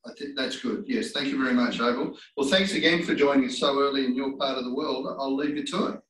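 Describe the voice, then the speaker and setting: A man's voice: medium pitch, steady tone, fast talker, a bit bright. Distant man chairing an online session. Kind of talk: casual moderating. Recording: distant echoey room, faint noise.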